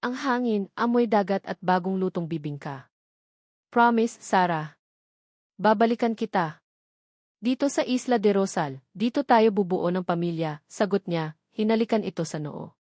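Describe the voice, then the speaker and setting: Female audiobook narrator for a love story; mid-pitched, emotive, scripted delivery, very dry close recording. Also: a little mellow, no room sound.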